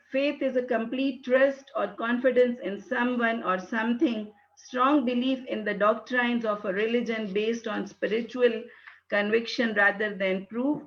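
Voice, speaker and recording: A woman from Pakistan, English not her first language, giving a hesitant webinar talk full of fillers. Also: on the high side. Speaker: guest speaker. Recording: dull lo-fi webinar audio.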